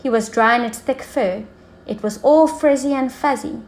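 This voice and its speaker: A woman teacher reading a story to young children in an expressive way, drawing words out for emphasis. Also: high voice, normal speed.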